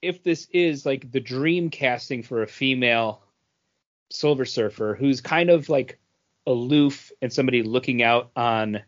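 A man co-hosting a casual geek podcast, wandering through his thoughts with plenty of ums and restarts. His voice sits in an ordinary middle pitch, and the recording sounds dull and lo-fi. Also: measured pace. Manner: rambling, many fillers and restarts. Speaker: podcast co-host. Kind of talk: casual chat.

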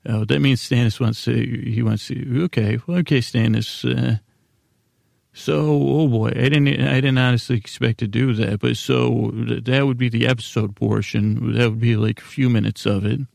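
A man hosting a sleep podcast in a casual, meandering monologue, halting and mumbling in a self-deprecating way. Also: mid-range voice, fairly even intonation, vocal fry, darkish tone, dry recording, clean studio sound. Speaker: podcast host.

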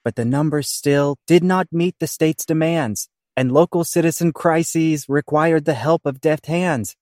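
A man's voice: on the high side, measured pace, darkish tone, no echo, clean studio sound. A man narrating a comic audio play in theatrical, rhyming scripted verse.

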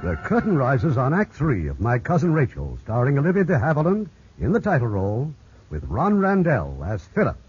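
A man's voice: mid-range voice, huge pitch swings, moderate pace, a little mellow. A man announcing in the theatrical style of a 1950s radio introduction. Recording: dull 1950s radio recording, faint music.

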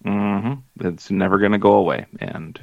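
Self-deprecating man in his forties with a deep voice, on a dull dry recording. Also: measured pace, vocal fry, dry recording. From Minnesota. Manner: rambling, self-deprecating, lots of fillers. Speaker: podcast co-host. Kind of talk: casual chat.

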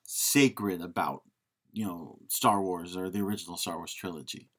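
A man with a somewhat low voice speaks as a podcast co-host. His delivery is informal and conversational, like someone talking films over with a fellow host. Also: moderate pace, a bit bright. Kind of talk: casual film discussion.

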